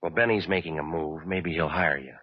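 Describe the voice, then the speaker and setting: An American actor playing a cynical, wisecracking private detective in a 1940s radio drama, terse and deadpan. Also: male voice, extremely low pitch, fairly quick, husky, no room sound. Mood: curt, cool. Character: cynical wisecracking hard-boiled male private detective narrator. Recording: dull vintage radio recording with music.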